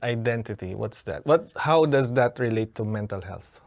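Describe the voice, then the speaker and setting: A man hosting a podcast interview, with a mid-range voice. He asks questions informally and haltingly, padding them with fillers. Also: medium pitch, expressive, creaky, a little mellow.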